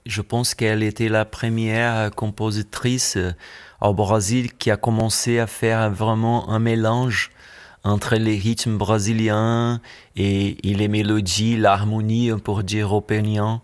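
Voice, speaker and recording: A Brazilian man speaking French with a Brazilian accent, a musician guest in a radio studio interview, pausing often to search for words. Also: on the low side, flat delivery. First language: Brazilian Portuguese.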